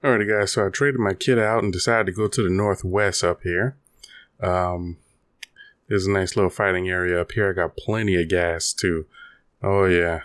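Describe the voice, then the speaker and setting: A man giving casual live gameplay commentary, playful and full of excitable exclamations to his teammates. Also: low voice, normal speed, clear, resonant tone, dry recording, studio quality. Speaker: gaming video host.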